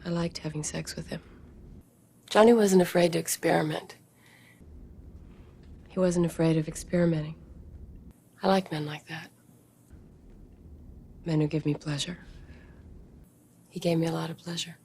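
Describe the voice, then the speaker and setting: Film thriller femme fatale: low, fry-edged, unruffled, provocative. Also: female voice, steady tone, moderate pace, pauses often, warm tone. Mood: seductive, unruffled. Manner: unruffled, teasing, defiant. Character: cool, seductive woman novelist, provocative murder suspect. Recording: faintly noisy film soundtrack.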